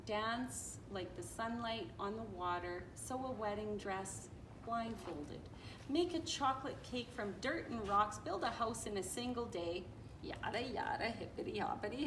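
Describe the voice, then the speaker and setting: A woman reading a children's story aloud in an expressive, playful way, with casual asides. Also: medium pitch, measured pace. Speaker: storybook reader. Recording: echoey room with faint noise.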